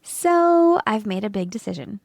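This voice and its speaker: A woman voicing an earnest, dutiful male egg telling his own story in a children's storybook, often breathy. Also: mid-range voice, big pitch swings, measured pace, no room sound. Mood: reflective, calm. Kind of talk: children's storybook first-person narration.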